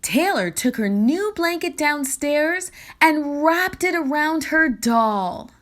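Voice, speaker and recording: A woman reading a picture book aloud as a children's story reader, with expressive, deliberate storytelling. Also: high-pitched.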